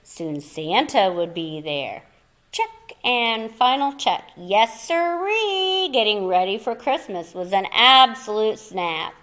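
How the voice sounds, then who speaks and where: A woman storyteller with a high voice reads a picture book to young listeners. Her delivery is theatrical and playful, swinging widely in pitch. She takes her time, with slow phrasing and dramatic pauses. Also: animated, fairly bright tone. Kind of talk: expressive children's picture book read-aloud with asides to listeners.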